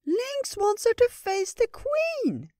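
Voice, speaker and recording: A man voicing an excitable small squirrel creature in a storybook, shrill and breathless. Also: slow, dry recording, studio quality, exaggerated voice. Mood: excited.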